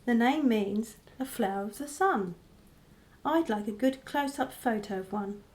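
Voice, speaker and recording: Actress as gentle, anxious mother, higher-pitched. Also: a little slow, close to an everyday voice. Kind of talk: radio play acting.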